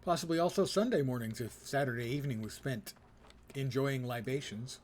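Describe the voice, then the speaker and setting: Wry male game streamer over clear noise. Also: mid-range voice, a little fast, a little mellow. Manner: wry, self-deprecating, wordy humour. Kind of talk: casual gameplay commentary, reading quests aloud.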